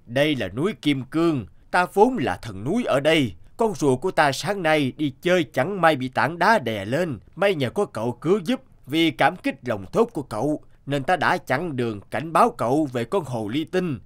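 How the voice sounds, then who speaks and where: Solemn old mountain god. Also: male voice, fairly high pitch, sing-song, normal speed, few pauses. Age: older. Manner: solemn, admonishing. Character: stern, benevolent old bearded mountain god. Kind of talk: children's fairy-tale cartoon dubbing.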